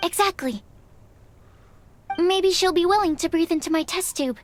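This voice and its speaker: An actress playing an earnest, friendly and polite young woman in an animated game. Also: very high-pitched, normal speed. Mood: earnest, caring.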